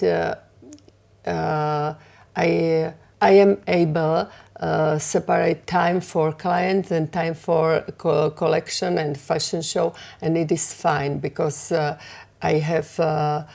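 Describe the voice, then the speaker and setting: Slovak woman, designer, low gravelly voice, slow hesitant English with a Slovak accent. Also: steady tone, darkish tone. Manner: halting, many fillers and pauses, simple English. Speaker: fashion designer and author. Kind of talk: community TV interview.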